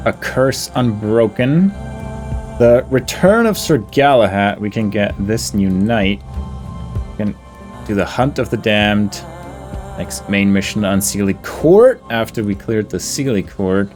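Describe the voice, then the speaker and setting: A man with a fairly low voice gives casual commentary on a game he is playing. He thinks aloud, deliberating over choices and addressing himself and the characters. Music plays faintly behind him. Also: expressive, measured pace, darkish tone. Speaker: gaming video host.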